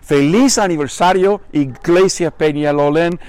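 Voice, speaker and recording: Older man, pastor, chilean accent with mexican mix, emphatic repetitive preaching, fairly high voice, dry sound. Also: unhurried, a little mellow, clear, resonant tone, no echo. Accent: Chilean Spanish mixed with Mexican. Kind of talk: recorded sermon greeting to a congregation. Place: outdoors on a vacant lot.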